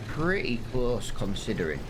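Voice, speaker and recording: A rambling man in his thirties talks over a farming game in a low voice. He sounds a little sniffly and restarts his sentences often. Loud noise runs behind him. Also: very animated, normal speed. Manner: rambling, chatty, lots of fillers and restarts. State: sniffly from hay fever. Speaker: gaming channel host. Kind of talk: casual let's-play commentary.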